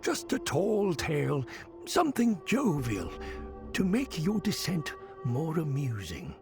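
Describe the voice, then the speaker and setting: An actor playing a sly storyteller and trickster in a video game, wheedling in theatrical, old-fashioned phrasing. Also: male voice, fairly high pitch, huge pitch swings, slow, creaky, music in the background, full character voice. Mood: teasing, sly. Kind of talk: video game cutscene acting.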